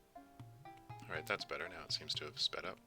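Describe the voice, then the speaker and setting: A man talking casually as he hosts a screen-recorded software tutorial. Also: rumbling low, fairly even intonation, moderate pace, music in the background. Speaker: tutorial host, game developer.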